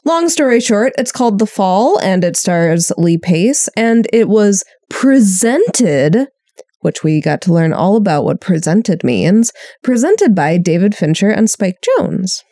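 A woman's voice: mid-range voice, expressive, a little slow, mellow, no echo, studio quality. A young woman co-hosting a podcast in casual chat, a little nasal from a cold and blunt, with casual swearing. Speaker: podcast co-host.

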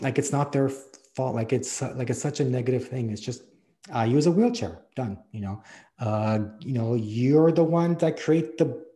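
An easygoing man in his forties who stutters openly talks through an interview at a middle pitch. His speech is casual and rambling and drifts off on tangents, broken up by laughter. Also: warm tone. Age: 40s. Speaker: podcast host and stuttering advocate.

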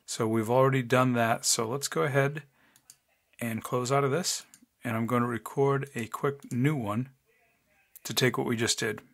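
A man with a deep voice, hosting a casual screencast tutorial and talking through each click step by step. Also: fairly even intonation, normal speed. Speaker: software tutorial host. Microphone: desk microphone, voice-over of screen recording.

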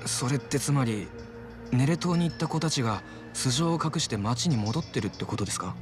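A man playing a soft-hearted young streetwise sidekick in a game cutscene, talking in an informal, slangy way. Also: mid-range voice, animated, brisk, music in the background, close to an everyday voice. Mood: puzzled. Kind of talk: dramatic game cutscene acting.

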